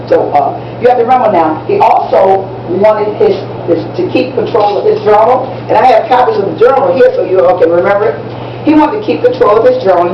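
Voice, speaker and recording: A woman's voice: mid-range voice, natural voice. A woman playing an enthusiastic teacher in a live amateur stage play, instructing students in an encouraging way and repeating herself for emphasis. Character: enthusiastic, proud woman teacher briefing young students on history. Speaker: teacher in a one-act play. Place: library event room, recorded from audience distance. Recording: distant, muffled, noisy echoey room recording.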